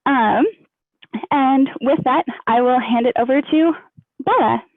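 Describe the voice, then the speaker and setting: Woman, panel moderator, higher pitch, careful halting phrasing, tinny phone-like webinar audio. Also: no echo. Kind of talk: introducing an online panel discussion. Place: online video webinar. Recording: thin phone-like online call audio.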